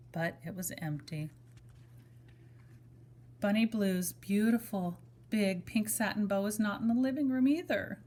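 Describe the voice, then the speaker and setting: A woman reading a children's story aloud in a measured, expressive manner, as if to young children. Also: medium pitch. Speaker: storybook reader. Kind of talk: children's story read-aloud with brief asides to listeners.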